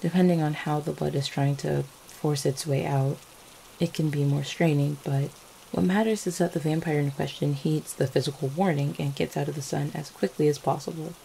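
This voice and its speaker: A gentle, devoted vampire girlfriend from an ASMR roleplay , acted by a woman. Her voice sits low and mellow, with a gravelly creak at the edges. She speaks tenderly and a little hesitantly, full of affection and reassurance. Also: normal speed, low-quality recording. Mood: gentle, explaining. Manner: soft-hearted, hesitant, tender. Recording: faint steady rain-like background noise. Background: rain falling.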